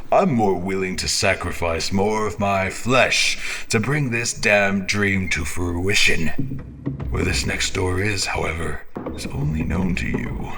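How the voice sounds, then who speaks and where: A man playing an obsessed, tormented character in a fantasy audio drama, speaking in a theatrical, halting, brooding way with dramatic emphasis. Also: low-pitched, fairly bright tone, slight background noise, full character voice. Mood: grimly defiant. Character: obsessed, tormented man addressing a cryptic book.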